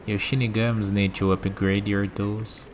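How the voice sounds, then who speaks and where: A man playing a calm, curious villain in a scripted ASMR roleplay, musing and dryly teasing as he talks. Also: fairly low pitch, a little slow, a little hiss or hum, lightly acted. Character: calm, curious young male villain bargaining with a death god.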